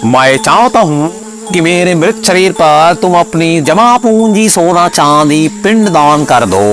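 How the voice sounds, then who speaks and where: A man playing a ghoulish spirit inside a dying old village headman in a cartoon, grumbling theatrically in drawn-out rhymes. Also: on the high side. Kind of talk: animated cartoon story acting.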